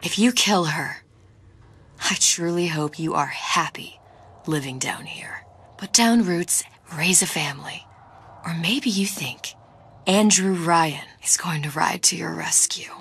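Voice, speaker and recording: A woman's voice: lowish voice, a little slow, a bit bright, slightly rough, full character voice. An actress playing a determined, bookish young woman in a video game, articulate and earnest with a wry edge. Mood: hushed defiance. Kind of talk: video game cutscene acting.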